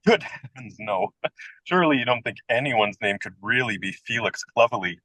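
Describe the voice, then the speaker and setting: A whimsical young American writer in a radio comedy, acted by a man. The voice is very bright and forward, recorded dry and close. He talks in wry, theatrical flourishes and happily pokes fun at himself. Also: medium pitch, expressive, normal speed, dry recording, low-quality recording. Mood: playful, courteous. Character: self-deprecating, whimsical American male writer of twenty-six. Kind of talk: radio play comedy dialogue.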